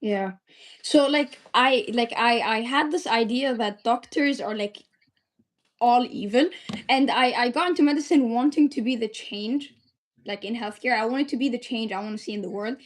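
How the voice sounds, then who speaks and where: A woman's voice: a little high, normal speed. A young woman in her twenties from the UAE, a medical student telling her founder story as a podcast guest, earnest and chatty with many fillers.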